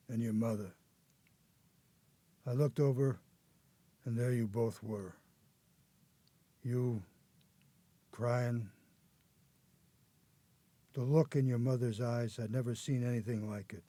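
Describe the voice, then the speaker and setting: An actor playing a haunted old grandfather, an escaped convict telling his story haltingly in a radio drama. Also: mid-range voice, warm tone, no room sound. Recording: noticeable background noise.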